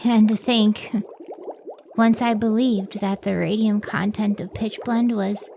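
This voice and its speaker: A woman playing a devoted, idealistic scientist in an old-time radio drama, speaking earnestly and with measured care. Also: medium pitch, steady tone, gravelly, dark tone, a little hiss or hum. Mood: weary, devoted.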